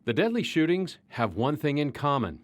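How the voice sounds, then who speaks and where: A man's voice: a little high, big pitch swings, moderate pace, no room sound. A man with an American accent reading a scripted television news report as the reporter.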